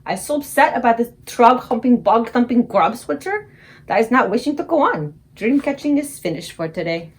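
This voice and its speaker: A woman voicing an excitable, kindly old male giant who mangles grammar and invents words. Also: a little high, normal speed, natural voice. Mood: agitated.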